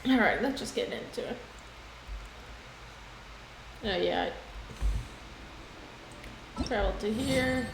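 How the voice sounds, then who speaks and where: A woman with a fairly high voice streams a game at an unhurried pace. Her talk is casual livestream chat, recorded with some echo and music behind. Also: vocal fry, a little hiss or hum. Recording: echoey room, music behind.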